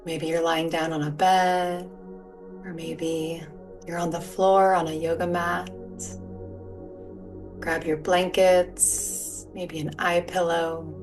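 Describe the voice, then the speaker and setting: A woman leading a guided relaxation as a yoga teacher, speaking in a soothing, gentle, unhurried manner. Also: fairly low pitch. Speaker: yoga nidra meditation guide. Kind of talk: guided meditation, soft spoken instruction. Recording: distant mic, music behind. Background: soft music or sound bowls.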